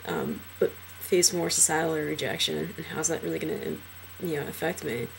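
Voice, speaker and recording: A young transgender man in a casual personal vlog, talking hesitantly with many fillers and restarts, his trained low voice deepened further by a cold. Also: big pitch swings, normal speed, vocal fry, slight background noise, poor audio. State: voice lowered by illness.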